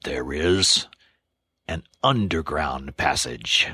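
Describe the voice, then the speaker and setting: Badger: a man doing a stern, deep old badger. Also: animated, bright, forceful tone, dry recording, exaggerated voice. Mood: grave. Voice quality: gruff, severe, measured. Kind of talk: audiobook character voice.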